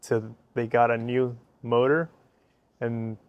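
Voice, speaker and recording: A man's voice: medium pitch, little pitch movement, slow pace, dull audio. A man in his thirties from Ecuador with an Ecuadorian Spanish accent, a native Spanish speaker, answering interview questions in short, simple sentences on an outdoor patio. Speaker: interview guest. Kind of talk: casual interview. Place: covered outdoor patio by a fireplace.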